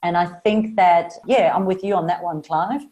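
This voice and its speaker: A woman's voice: lowish voice, normal speed, dark tone. South African Australian woman, encouraging. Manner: encouraging, earnest, upbeat. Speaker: guest, marketing coach. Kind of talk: podcast interview.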